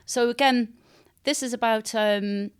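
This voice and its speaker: A woman speaking as an author in an interview, explaining her writing in a reflective, halting way. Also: a little high, fairly even intonation, clean tone. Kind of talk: interview talk about own writing.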